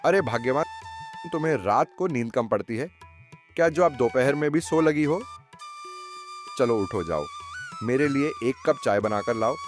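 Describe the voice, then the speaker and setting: A man playing a grumbling village farmer and husband in an expressive cartoon moral tale. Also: mid-range voice, moderate pace, long pauses, faint music behind, lightly acted. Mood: impatient. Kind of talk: acted cartoon storytelling.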